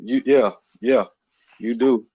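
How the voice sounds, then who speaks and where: A man's voice: medium pitch, steady tone, takes it slowly, husky, no echo. Man chatting casually, dry slightly dull sound. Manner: informal, slangy, repeats phrases. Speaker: podcast co-speaker. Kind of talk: casual podcast chat.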